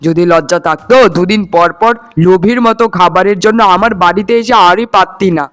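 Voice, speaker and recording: A man voicing a cruel, quick-tempered uncle in a cartoon, scolding and sneering with contempt. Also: high voice, a bit bright, clean tone. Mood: angry, contemptuous. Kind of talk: cartoon voice acting. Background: faint music.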